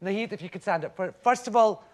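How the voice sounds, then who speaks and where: A man's voice: sits high, quick pace, darkish tone. A man co-hosting a live interview and calling on audience members for questions. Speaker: co-host. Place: radio theatre stage before an audience.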